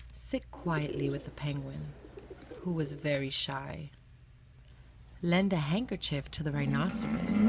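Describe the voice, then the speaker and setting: A woman hosting a children's read-aloud, narrating a picture book in a calm, clear, measured way. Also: low voice. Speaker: storyteller and channel host.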